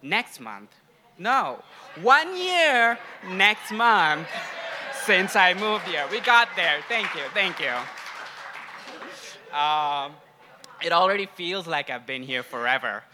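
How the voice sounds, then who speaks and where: A man's voice: high-pitched, sing-song, slow, pauses often, sharp tone. A young man from Sri Lanka and India speaking to a congregation in an informal, conversational sermon style, sharing personal stories. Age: young adult. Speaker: guest preacher, grad student.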